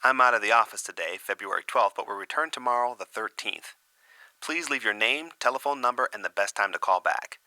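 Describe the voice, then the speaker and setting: A man playing a courteous, businesslike office employee on the phone in a scripted training example, brisk and polite. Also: fairly low pitch, sharp tone, no room sound, rough recording.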